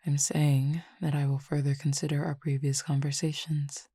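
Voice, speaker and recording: A woman playing a calm, teasing Cupid roommate with formal, measured speech in an intimate roleplay audio drama. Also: deep voice, breathy edge, no echo, clean studio sound. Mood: teasing, fond.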